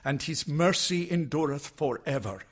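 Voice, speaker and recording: An actor playing a pious, anxious priest with a husky voice in a radio drama. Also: male voice, on the high side, expressive, normal speed. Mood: troubled, prayerful. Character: pious, anxious priest hearing a terrible confession.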